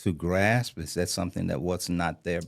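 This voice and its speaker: Podcast host guy, really deep voice, pushing with follow-up questions. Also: steady tone, moderate pace, vocal fry. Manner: probing, questioning follow-ups. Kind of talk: interview questions.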